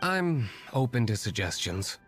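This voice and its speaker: An actor playing an aloof, brooding, self-assured young nobleman in a video game, speaking in a formal, measured, dryly disdainful way. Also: medium pitch, big pitch swings, fairly bright tone. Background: game music.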